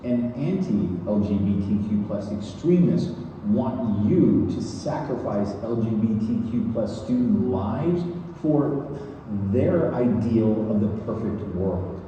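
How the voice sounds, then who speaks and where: A man giving an earnest, emphatic dramatic reading on a theatre stage, speaking deliberately. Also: on the low side, animated, mellow, muffled recording. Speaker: stage performer. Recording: echoey theatre hall, distant mic, noise.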